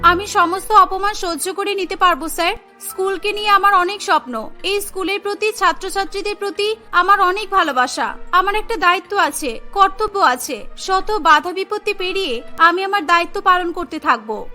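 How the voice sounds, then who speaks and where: A woman's voice: very high voice, moderate pace, bright, forceful tone, faint music behind. A woman voicing a wronged, devoted young schoolteacher, earnest and plaintive, in a cartoon drama. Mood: wounded, resolute.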